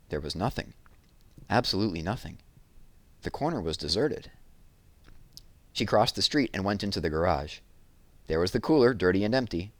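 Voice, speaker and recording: A man narrating a children's novel aloud in an expressive storytelling style. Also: fairly low pitch, dry recording.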